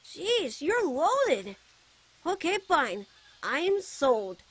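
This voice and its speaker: A woman's voice: high-pitched, sing-song, a bit bright, heavily acted. A woman voicing a playful, teasing, fondly protective young bard boy in a giggly ASMR character roleplay. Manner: teasing, giggly, drawn-out phrases. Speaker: voice actor.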